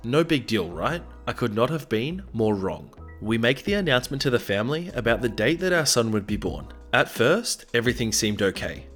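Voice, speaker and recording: A man who is sick and slightly raspy, narrating reddit stories with casual, sarcastic asides. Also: mid-range voice, sing-song, normal speed, few pauses, a bit bright. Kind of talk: reading reddit stories aloud. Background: faint background music.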